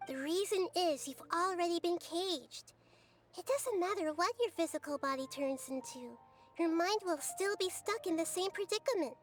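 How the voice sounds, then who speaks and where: A woman voicing a gentle, childlike goddess in a video game, soft and thoughtful, talking in calm analogies. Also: very high voice, moderate pace. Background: game music.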